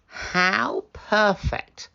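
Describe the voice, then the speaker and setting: A woman voicing a wicked, greedy male wolf in a storybook, savoring words in a falsely soft, coaxing way. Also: medium pitch, fairly even intonation, drawn-out words, vocal fry, clear, resonant tone, dull audio, pitched above the performer's own voice, heavily acted. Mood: sly scheming.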